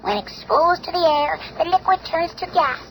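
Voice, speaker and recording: A man playing a tiny, calm, ant-sized scientist superhero in a 1970s radio drama, speaking precisely in a small voice. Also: normal speed, full character voice. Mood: calm, helpful. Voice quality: small, high-pitched miniature voice. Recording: noisy old 1970s radio recording.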